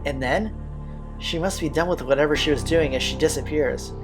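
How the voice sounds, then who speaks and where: A man's voice: a little high, normal speed. A man reading visual novel text aloud in an informal, slangy way, as host of a playthrough. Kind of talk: reading game text aloud with casual asides. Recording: game music behind the voice. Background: visual novel soundtrack.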